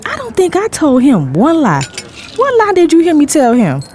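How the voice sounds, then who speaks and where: A high-voiced young woman with hugely animated, swooping intonation. She is coy, playful and sure of herself, like a smooth-talking fiancée in an audio drama. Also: moderate pace, noisy background. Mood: coy, sly. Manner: coy, playful, self-assured. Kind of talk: dramatized audio fiction dialogue.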